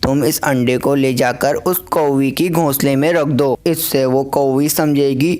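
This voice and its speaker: A man's voice: medium pitch, steady tone, unhurried, darkish tone, natural voice. An actor playing a scheming but helpful male owl in a children's cartoon, advising in a persuasive, matter-of-fact way. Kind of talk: children's cartoon voice acting in Hindi.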